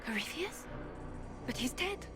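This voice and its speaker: A resolute female order commander in a fantasy game. Her voice sits a little high. She declaims with stern pride and solemn weight. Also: big pitch swings, unhurried, a bit bright, faint music behind, full character voice. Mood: shaken. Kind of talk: dramatic video game acting.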